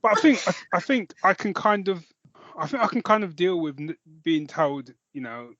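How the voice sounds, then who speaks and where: A man with a British accent co-hosting a podcast, chatting casually in a rambling, halting way that often backtracks. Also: sits high, big pitch swings, a bit bright, no echo, lo-fi sound. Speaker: podcast co-host. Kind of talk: casual chat about films and comics.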